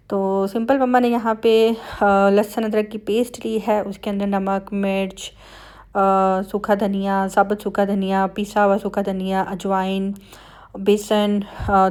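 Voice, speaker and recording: Woman, Pakistani Punjabi accent, mid-range pitch, conversational, casual family vlog narration. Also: darkish tone. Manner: chatty, conversational. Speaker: family vlogger.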